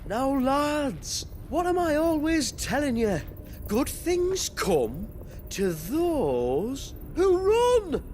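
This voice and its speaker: Casting: bossy, cowardly gang boss, high-voiced and swooping, theatrical game cutscene delivery. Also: male voice, wildly swooping pitch, very slow, a little mellow, slight background noise, heavily acted. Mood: nervous bravado. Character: blustering, cowardly chief of a small work gang. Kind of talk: video game cutscene acting.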